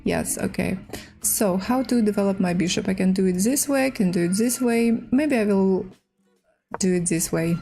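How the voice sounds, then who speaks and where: A woman with a Russian accent, a native Russian speaker, hosting a casual chess livestream in halting, hesitant English. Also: medium pitch, gravelly, warm tone, soft background music. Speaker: livestream host and chess player. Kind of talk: casual livestream chat while playing chess.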